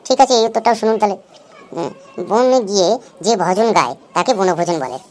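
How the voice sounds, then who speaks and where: A woman's voice: medium pitch, big pitch swings, fairly quick, a little mellow, natural voice. A woman voicing a cheeky young schoolboy in a comedy cartoon, glib and pleading with excuses. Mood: confidently silly. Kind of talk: Bengali comedy cartoon dub.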